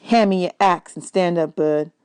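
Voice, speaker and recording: A woman's voice: on the low side, normal speed, darkish tone, smooth, close to an everyday voice. A woman voicing an easygoing, kindly male jazz musician who mentors a boy in a storybook. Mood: teasing.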